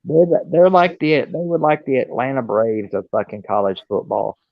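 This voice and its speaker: A man's voice: medium pitch, expressive, normal speed, gravelly, dry recording, narrow, tinny audio. A man joining a casual livestream chat, wry and sarcastic, swearing casually.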